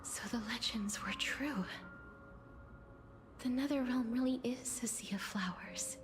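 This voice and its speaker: A woman playing a gentle, earnest young heroine in a fantasy video game, speaking politely in a hushed voice. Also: a little high, unhurried, close to an everyday voice. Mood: hushed wonder. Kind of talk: English video game voice acting. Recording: game dialogue under loud music.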